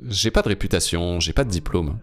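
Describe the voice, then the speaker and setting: A man's voice: low voice, animated, fairly quick. A man voicing a guest's translated interview answers, speaking earnestly with repeated phrases. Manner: earnest, advising, repetitive phrasing. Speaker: French voice-over interpreter for a guest.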